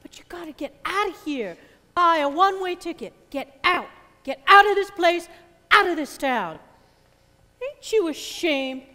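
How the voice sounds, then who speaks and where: An actress plays a desperate, outraged woman on stage. Her very high voice swoops widely as she pleads and scolds, ringing through a reverberant theater. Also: animated, a little slow, sharp tone, exaggerated voice.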